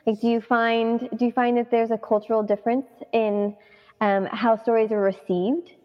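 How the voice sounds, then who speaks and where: A woman interviewer with a fairly high voice, asking curious, gentle questions and hedging softly. Her sound is dull and muffled, with a long reverb trailing behind it. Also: level tone, moderate pace. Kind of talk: casual interview chat.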